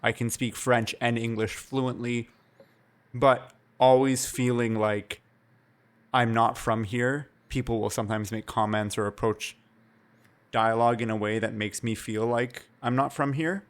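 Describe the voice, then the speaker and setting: A man's voice: mid-range voice, steady tone, unhurried. Thoughtful man from Canada, co-host. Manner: thoughtful, reflective, hesitant. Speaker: podcast co-host. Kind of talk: casual chat.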